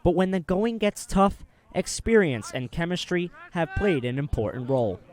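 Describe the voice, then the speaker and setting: High-pitched young male sportscaster. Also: very animated, normal speed, a little mellow. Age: early 20s. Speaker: sports play-by-play broadcaster and reporter. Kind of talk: hockey play-by-play commentary and scripted sports news narration.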